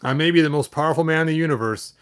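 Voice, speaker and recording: A man's voice: a little high, very fast, clean tone, pitched above the performer's own voice, natural voice. A man reading aloud as a bold, good-natured storybook hero who speaks in moralizing declarations. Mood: earnest, preachy.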